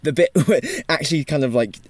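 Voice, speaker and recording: A man's voice: a little high, brisk, no echo. A man in casual podcast discussion, informal and roundabout, circling back to restart his points with plenty of "like". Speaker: podcast panelist.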